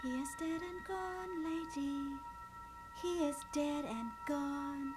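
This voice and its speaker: A woman's voice: high-pitched, takes it slowly, over music. A woman acting a fey, erratic young maiden mad with grief in a theatrical puppet show. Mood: fey sorrowful. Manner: erratic, singsong.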